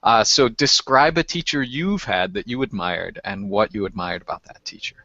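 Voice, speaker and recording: A man hosting a casual interview, with a lowish but very bright, forceful voice. He chats freely, laughs easily and jokes at his own expense. The recording is dry and a little dull. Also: moderate pace, no echo. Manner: chatty, laughs easily, self-deprecating. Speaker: podcast host.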